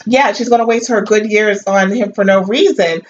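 A woman's voice: medium pitch, normal speed, far from the mic. A blunt, foul-mouthed woman with a New York accent, chatting about a TV show. Her voice sits back from the mic and sounds dull, with a faint hiss underneath. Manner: blunt, profane, animated. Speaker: co-host. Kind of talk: casual reality TV recap chat.

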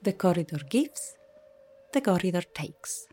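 A woman's voice: fairly low pitch, lively intonation, a little slow, dark tone, faint music behind. A woman speaking poetic lines in a radio play.

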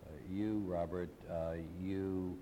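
A man with a fairly low, mellow voice moderates a panel and asks probing questions. The sound carries a long hall echo with a faint rumble. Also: fairly even intonation, slow pace. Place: large hall.

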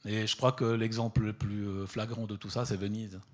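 A middle-aged man from southern Italy speaks about his work in a fairly low voice. He gropes for words, repeating himself and filling gaps with hesitations. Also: flat delivery. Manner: halting, many fillers and repeated words. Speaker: photographer. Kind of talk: hesitant conversational talk at an exhibition.

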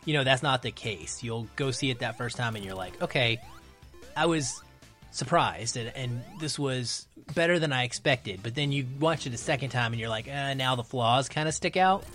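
A man's voice: mid-range voice, lively intonation, moderate pace, a bit bright. A man talks as a podcast guest in an average male register. He is conversational and informal, stressing points by repeating himself, in the manner of a casual review. There is faint music under his voice. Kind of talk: casual film review chat.

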